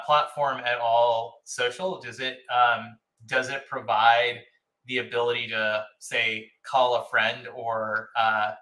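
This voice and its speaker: A man hosting an interview in a casual, upbeat way, often lifting his voice for quick reactions. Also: mid-range voice, bright, forceful tone, poor audio. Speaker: host.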